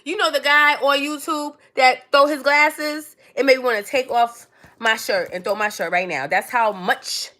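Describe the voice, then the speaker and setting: A woman's voice: high voice, moderate pace, pushed and bright. A woman, born in Trinidad and raised in New York City, chatting casually to viewers on her own livestream and calling them darling and baby. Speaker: livestream host.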